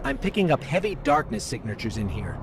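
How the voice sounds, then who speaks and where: A man voicing a small, curious robotic companion in a video game, talking in a casual, wry, questioning way over loud music. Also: medium pitch, sing-song, a little fast, noisy background. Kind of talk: video game mission dialogue. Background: loud orchestral game score.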